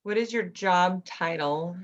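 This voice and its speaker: A woman interviews a guest over a video call in a casual, direct manner. Her voice sits slightly low, and the audio comes through dull and muffled. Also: unhurried.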